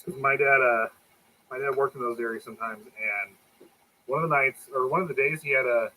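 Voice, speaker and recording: A man's voice: medium pitch, fairly quick, slight background noise, low-quality recording. A man co-hosting a casual livestream, cracking jokes and telling rambling stories from home. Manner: animated storyteller who jokes and laughs, chiming in. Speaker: co-host. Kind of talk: casual chat, joking commentary.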